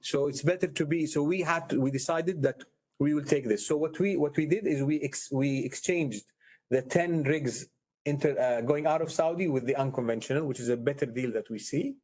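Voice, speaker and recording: Higher-pitched man with an Arabic accent. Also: moderate pace, a little mellow. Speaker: company executive answering analysts. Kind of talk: earnings call answers, unscripted.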